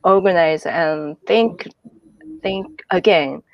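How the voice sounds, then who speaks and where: Woman artist, non-native English, slow and halting, gravelly, dull call audio. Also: lowish voice, no room sound. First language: not English. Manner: halting, searching for words. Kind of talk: online meeting discussion. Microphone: video call.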